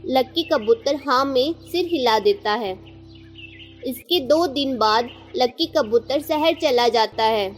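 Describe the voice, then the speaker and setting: A woman narrator reading a scripted children's story. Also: high-pitched.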